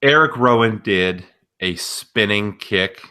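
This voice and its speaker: A man with a lowish, bright and punchy voice co-hosts a wrestling podcast. His chat is casual and critical, delivered in a matter-of-fact way. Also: takes it slowly, clean tone. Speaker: podcast co-host.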